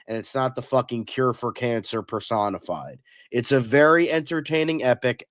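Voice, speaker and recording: A man's voice: medium pitch, a bit bright, no room sound, studio quality. Nasal man with a cold, blunt. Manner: blunt and profane. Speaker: host. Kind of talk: casual film review discussion.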